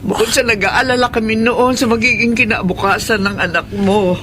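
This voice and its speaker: A woman playing a gentle, apologetic elderly mother in a radio drama, with a halting, motherly way of talking. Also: fairly high pitch, steady tone, a little hiss or hum, close to an everyday voice. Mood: pleading, remorseful.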